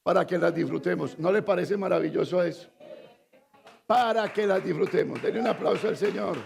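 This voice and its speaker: A man from Colombia with a Colombian Spanish accent, preaching a sermon as pastor, quizzing the congregation and swinging between loud emphatic lines and low confiding asides. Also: a little fast, gravelly, soft background music. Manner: call-and-response preaching, asks the congregation to fill in words.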